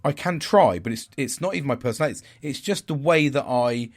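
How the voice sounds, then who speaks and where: A man with a naturally deep, loud voice talks through his week as a podcast host. His delivery is rambling and frank, with the odd swear word. His pitch swings up and down lively as he goes. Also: fairly quick. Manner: rambling, candid, swears casually. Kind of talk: casual reflective monologue.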